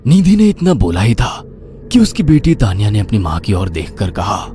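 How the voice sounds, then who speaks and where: Low-voiced dramatic horror storyteller man. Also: wildly swooping pitch, moderate pace, dark tone, a little hiss or hum. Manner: dramatic, theatrical swings. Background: background music.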